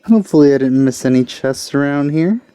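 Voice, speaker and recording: Mellow man giving wry game commentary. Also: on the high side, expressive, fairly quick, slight background noise. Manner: wry, offhand. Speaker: gamer, let's play host.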